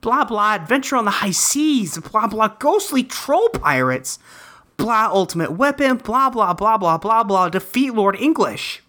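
A woman's voice: medium pitch, sing-song, measured pace, fairly bright tone. A woman voicing an earnest, rambling teenage boy in a webcomic dub, breezily recounting his adventures. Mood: excited recital. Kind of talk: character dub reading of a webcomic.

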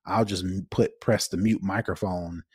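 A man's voice: sits low, steady tone, normal speed, a little mellow, husky, no room sound, studio quality. A man co-hosting a podcast in casual chat, explaining things informally and circling back to rephrase. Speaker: podcast co-host.

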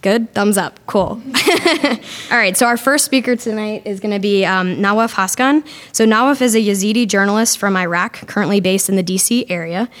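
A woman's voice: medium pitch, moderate pace, a bit bright. Upbeat young woman hosting a panel. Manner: upbeat, with many fillers. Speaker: event host. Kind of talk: introducing speakers at a live event.

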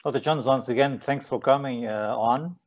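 A man's voice: mid-range voice, moderate pace. A man from Portugal interviewing a guest, hesitant with many fillers and restarts. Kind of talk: video interview. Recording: dull lo-fi audio.

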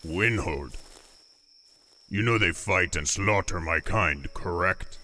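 An actor playing a gruff, weary orc warrior in a fantasy audio drama, terse and dry with a gravelly, unhurried delivery. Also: male voice, low voice, sharp tone, full character voice. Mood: gruff, reluctant.

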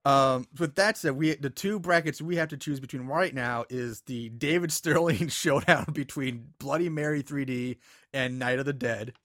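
A man's voice: mid-range voice, normal speed, a bit bright, dry recording, studio quality. A man hosting a podcast in a casual, profane chatting style. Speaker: podcast host. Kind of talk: casual chat about bad horror movies.

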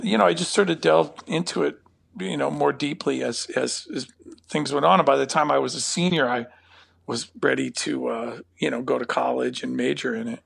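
A man in his fifties from Northern California, a saxophonist and podcast guest, answering in a thoughtful, halting way. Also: mid-range voice, creaky, breathy edge, no room sound. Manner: thoughtful, halting, many fillers and restarts. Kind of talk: conversational interview.

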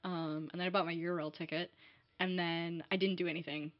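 A young woman chatting casually, telling lively stories and laughing often. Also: on the low side, brisk, no echo. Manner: animated storyteller, laughs often. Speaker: guest. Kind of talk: casual conversation. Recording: dull, dry, muffled sound.

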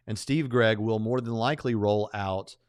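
A man hosting a podcast in casual, animated chat, often repeating words as he speeds along. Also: lowish voice, normal speed, clear, resonant tone, no echo, studio quality. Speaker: podcast host.

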